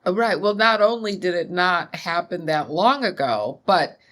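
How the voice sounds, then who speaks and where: A woman in her sixties, a scholar being interviewed, speaking in a measured, thoughtful way. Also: on the low side, animated. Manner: measured, thoughtful, occasional soft laughs. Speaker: guest scholar. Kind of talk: interview conversation.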